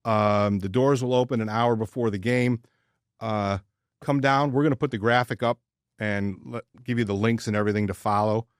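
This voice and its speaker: A man's voice: fairly low pitch, fairly even intonation, normal speed, clean tone, no room sound, studio quality. A man co-hosting a casual sports podcast, chatting informally and jokingly, often stammering and repeating his words. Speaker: podcast co-host.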